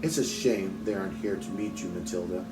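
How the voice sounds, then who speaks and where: A man's voice: sits low, brisk, far from the mic, close to an everyday voice. A spiteful storybook teenage girl from a rich family, voiced by a man, cutting and full of snobbery. The sound is echoey and set back, over music. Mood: snide. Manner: snide, cutting. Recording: roomy echo, off mic, music behind.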